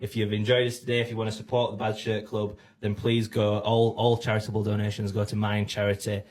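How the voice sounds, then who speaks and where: A man's voice: on the low side, little pitch movement, a little fast, darkish tone. A man, a band member reading out a sponsor message. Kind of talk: sponsor read.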